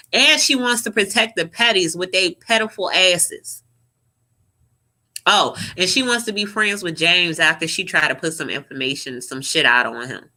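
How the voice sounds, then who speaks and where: A young woman vlogger giving sassy, profane, animated reaction commentary to camera. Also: mid-range voice.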